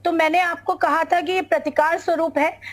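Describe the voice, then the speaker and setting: A woman religious leader speaking formally and deliberately as a guest in a TV debate, joining by remote call. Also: extremely high pitch, steady tone. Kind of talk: debate commentary.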